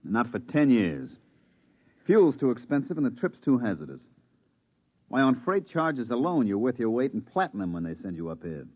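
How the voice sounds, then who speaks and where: An actor playing a quiet, careful veteran pilot on a moon base in a 1950s radio drama, speaking in a measured, reflective way. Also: male voice, sing-song. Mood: steady. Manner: low-key, measured, reflective. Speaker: lead in a radio play. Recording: muffled vintage radio broadcast.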